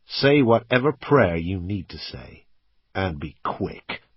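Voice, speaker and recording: A man's voice: sits low, big pitch swings, takes it slowly, husky, dry recording, dull audio, exaggerated voice. A man voicing a terse, commanding armed robber in an audiobook, threatening his victims. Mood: cold menace. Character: menacing male armed robber, first-person hero, commanding. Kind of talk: audiobook character acting.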